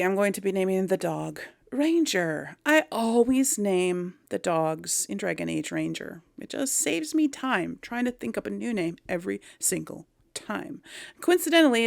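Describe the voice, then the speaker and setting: A woman's voice: medium pitch, creaky, dry recording, clean studio sound. A woman hosting a gaming channel, giving casual role-play commentary as she plays.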